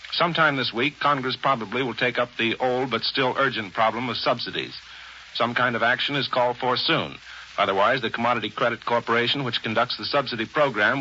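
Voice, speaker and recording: A male American news correspondent from 1940s radio, with a middle-pitched, very sharp voice. He reports formally and matter-of-factly. The recording is dulled by age and carries faint noise. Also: muffled recording.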